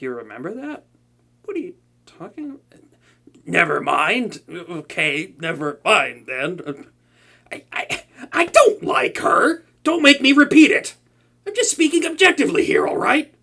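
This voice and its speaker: Guy doing a tsundere supervillain dude, gravelly, lively high voice, keeps stammering. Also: unhurried, exaggerated voice. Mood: flustered. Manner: stammering, flustered, talks to an unseen listener. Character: flustered tsundere male supervillain, proud cook. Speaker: audio roleplay voice actor. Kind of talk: comedic audio roleplay.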